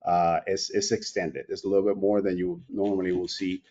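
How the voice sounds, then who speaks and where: Low-voiced man presenting over lo-fi video call. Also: flat delivery, a little fast, mellow. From Puerto Rico. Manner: informal, with many fillers and restarts. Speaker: company president. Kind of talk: presentation at an online meeting.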